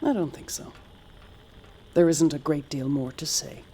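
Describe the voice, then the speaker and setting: An actress playing a stoic, resigned mission commander in an audio drama, clipped, restrained and matter-of-fact, with a husky voice. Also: female voice, low voice, animated, a little slow, dark tone, full character voice. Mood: curt, resigned.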